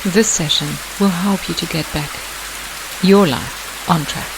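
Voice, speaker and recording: A meditation guide delivering scripted hypnotic narration in a measured, deliberate way with pauses. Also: medium pitch. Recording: hissy, clearly noisy background.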